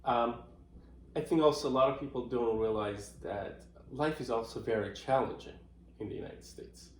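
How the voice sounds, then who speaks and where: A middle-aged man from Iran answering interview questions in a thoughtful, unhurried way, with many fillers and restarts. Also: medium pitch, a little hiss or hum. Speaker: interviewee, marketing professional. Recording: roomy echoey room.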